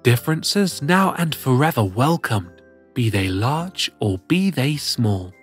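Performer putting on a swooping, husky cartoon cat storyteller. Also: mid-range voice, big pitch swings, slow pace, faint music behind, heavily acted. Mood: storytelling. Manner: theatrical, sing-song rhyming delivery. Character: Mr. Whiskers, cheerful plush cat storyteller for children. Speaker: storyteller host. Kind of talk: expressive children's picture-book read-aloud.

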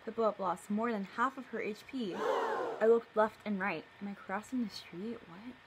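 A woman's voice: medium pitch, normal speed, heavy background noise. A young woman casually reading her own story aloud on camera, breaking off for playful, mock-indignant asides. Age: young adult. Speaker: video creator. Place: living room at home, indoors.

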